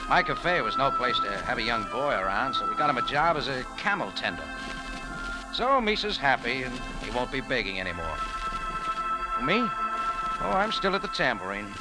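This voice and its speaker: An actor playing a wry, hard-boiled American cafe owner, narrating in clipped, slangy old-time radio noir style. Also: male voice, medium pitch, lightly acted, poor audio. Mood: wry, relaxed. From United States. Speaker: lead actor and narrator of a radio mystery serial. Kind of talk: 1940s-50s radio noir acting, first-person narration. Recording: old radio broadcast recording.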